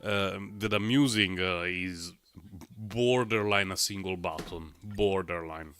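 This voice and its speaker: A man livestreaming a game, talking in a rambling, chatty way full of fillers, his voice a little low and rough from a recent cold. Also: fairly even intonation, takes it slowly, fairly bright tone. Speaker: game streamer. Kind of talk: casual live-stream gameplay commentary.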